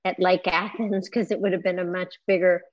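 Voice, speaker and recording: A woman's voice: lowish voice, little pitch movement, brisk, no echo, lo-fi sound. A woman co-hosting a wellness podcast from home, chatting casually and gushing over her co-hosts. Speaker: podcast co-host.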